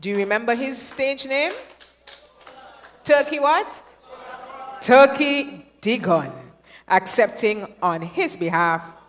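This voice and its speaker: A woman's voice: on the high side, animated, slow pace, pauses often, slight background noise. A woman hosting a live awards show, playful and chatty, asking the audience questions. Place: large hall. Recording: echoey hall with long reverb.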